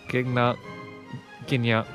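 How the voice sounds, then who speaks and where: A man giving casual, muttered gameplay commentary as a streamer, with sighs and swearing. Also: mid-range voice. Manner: muttering, sweary, sighing reactions. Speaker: game streamer.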